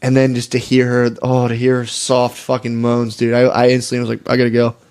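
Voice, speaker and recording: A man's voice: medium pitch, monotone, brisk, darkish tone, clean tone. A man co-hosting a comedy podcast in casual, profane, slangy banter, peppered with "dude.". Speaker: podcast co-host.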